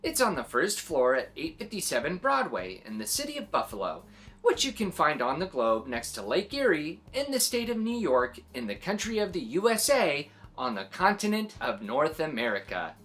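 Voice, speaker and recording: A woman reading a story aloud to young children in an animated, expressive way, adding little exclamations. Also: deep voice, normal speed, soft background music. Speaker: children's story reader and host.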